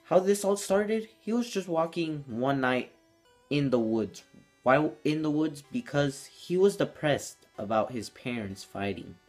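A man's voice: a little high, animated, unhurried, faint music behind. A teenage young man telling a story casually to camera in a small room indoors, as a vlogger.

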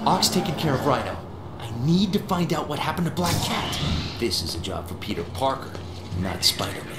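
A man's voice: medium pitch, big pitch swings, fairly quick, roomy sound, very noisy, natural voice. An actor playing an earnest, wisecracking young male superhero in a video game, quippy and dramatic. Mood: determined, urgent. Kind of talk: video game cartoon-hero acting.

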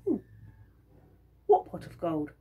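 A woman's voice: takes it slowly, phone-quality sound, lightly acted. A woman voicing a sly, grumbling husband in a folktale, exasperated and muttering.

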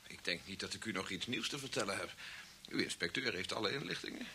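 Creaky, sharp-voiced fussy professor. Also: male voice, low voice, heavily acted. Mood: curt, dismissive. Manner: formal, fussy, halting. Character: pedantic, self-important male professor. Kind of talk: old Dutch radio drama acting. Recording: hissy old radio recording.

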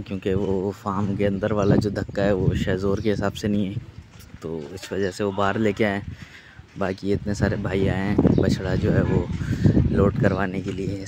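A man with a Pakistani Urdu accent talks straight to his viewers in an easy, rambling vlog manner, full of fillers. His voice sits low and stays flat. He is recorded on a phone outdoors at a cattle farm, with heavy background noise of animals and vehicles. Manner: chatty, addresses viewers directly, many fillers. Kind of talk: casual vlog narration.

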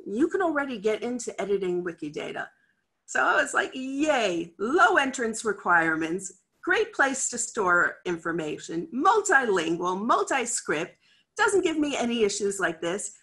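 A middle-aged woman with an American accent speaks over a video call from her office. She gives a conference talk in a chatty, candid way. Her voice is bright and forward. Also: on the high side, big pitch swings, unhurried, slightly rough. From United States. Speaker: head of a library cataloguing department. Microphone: video call webcam.

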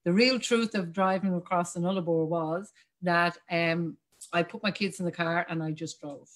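A woman's voice: fairly low pitch, fairly even intonation, moderate pace. A woman in her forties with an Irish accent, telling her story as a guest on a video call, chatty and laughing often. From Ireland. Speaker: guest, communication specialist. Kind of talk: casual video-call interview. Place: home room.

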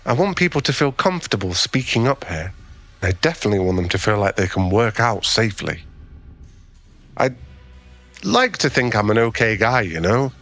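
Man acting a low-voiced, awkward, smitten gym guy. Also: big pitch swings, normal speed, a bit bright. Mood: shy, friendly. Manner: stammering, hesitant, earnest, conversational. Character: awkward, flustered, kind young male gym owner with a crush. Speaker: ASMR roleplay voice actor. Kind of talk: ASMR boyfriend roleplay.

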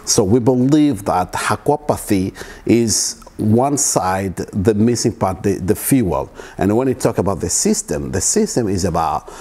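Middle-aged male presenter from East Africa, a bit low and husky, stumbling lecture into a lapel mic. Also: expressive, mellow. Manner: halting, many restarts and fillers. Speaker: wellness presenter. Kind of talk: informal lecture. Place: living room at home, indoors.

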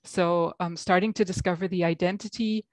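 A woman's voice: lowish voice, steady tone, moderate pace, a little mellow, dry recording. A woman from Belgium with an American accent, a guest coach on a video call, talking reflectively with many ums. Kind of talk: interview over video call. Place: home room.

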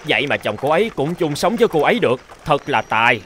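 A mocking cartoon villager with a lively, swinging delivery. He gossips in a slightly high voice over music. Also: quick pace. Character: gossiping, mocking male villagers in a folk tale. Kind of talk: Vietnamese cartoon voice acting.

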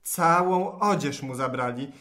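An actor voicing a clever, bold talking cat with a courtly, smooth-tongued way in a children's rhymed audio tale. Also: mid-range voice, a little slow, a bit bright, close to an everyday voice. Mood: dramatic pleading. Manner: smooth-tongued rhyming oration.

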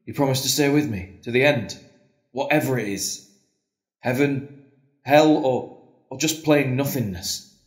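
An actor playing a devout, tender priest in an audio drama, speaking earnestly and solemnly. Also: male voice, medium pitch, measured pace, pauses often, close to an everyday voice. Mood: solemn, resolved. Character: John, devout, tender, doubting priest facing the end. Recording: echoey, roomy sound.

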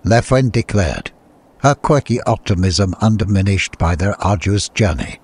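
Low-pitched male storybook narrator. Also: animated, moderate pace, a little mellow, slightly rough. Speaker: audiobook narrator.

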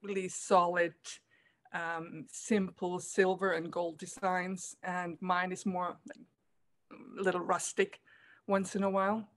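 A middle-aged woman from Germany answers questions over a webcam from her home, soft-spoken and a little hesitant, with plenty of ums and small laughs. Her voice is fairly low for a woman. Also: flat delivery, slow. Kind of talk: casual video call interview. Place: room at home, indoors. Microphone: laptop webcam video call.

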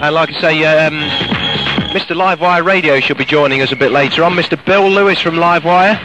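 British man, higher-pitched voice, informal pirate radio presenter, ad-libbed links, shortwave broadcast, loud music. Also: fairly quick, poor audio. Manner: chatty, informal. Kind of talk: live, ad-libbed radio presenting between records. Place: outdoors in the countryside.

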